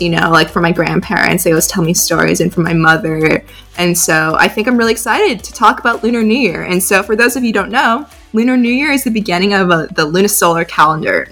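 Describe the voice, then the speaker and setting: A woman's voice: lowish voice, a bit bright. A teenage girl from San Diego hosting a podcast, chatty and upbeat, with frequent fillers. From San Diego, USA. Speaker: podcast host. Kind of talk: casual podcast chat.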